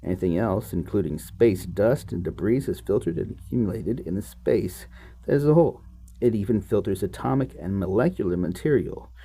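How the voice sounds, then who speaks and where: A man reading a book aloud as host, in an expressive, musing manner with offhand asides. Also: on the low side, normal speed, slightly rough.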